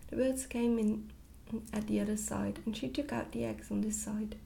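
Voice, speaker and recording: Hushed, creaky-voiced woman reading a story. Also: mid-range voice, steady tone, normal speed, a little hiss or hum. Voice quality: hushed, near-whisper. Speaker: ASMR reader. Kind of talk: soft ASMR audiobook narration.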